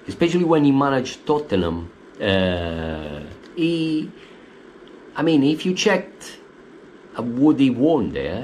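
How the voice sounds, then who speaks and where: A man in his forties with an Italian accent, giving opinionated football commentary from his living room with many hesitant fillers. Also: slight background noise. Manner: hesitant, many uh fillers, animated pitch swings. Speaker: football fan vlogger. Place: living room at home, indoors.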